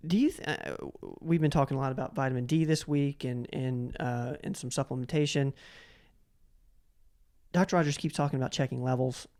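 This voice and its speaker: A man's voice: mid-range voice, a little fast, darkish tone. A man hosting a casual conversational interview, enthusiastic and rambling, often repeating his words. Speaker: podcast host and interviewer.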